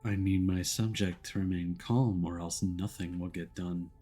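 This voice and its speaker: A man voicing a calm, menacing villain with a measured, coldly polite manner in a game story. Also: sits low, husky, soft background music, voice pushed down. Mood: cold menace.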